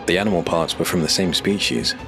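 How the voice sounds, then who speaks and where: A man narrating a scripted dark fantasy story as an audiobook narrator. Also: low voice, expressive, a little fast, over music.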